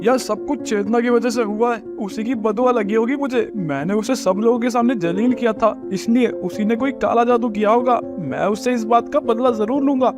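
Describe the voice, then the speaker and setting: A man playing a scheming, spiteful rich villager in an animated moral story, with an accusing, theatrical delivery. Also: very high voice, normal speed, few pauses, over music. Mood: enraged, vengeful.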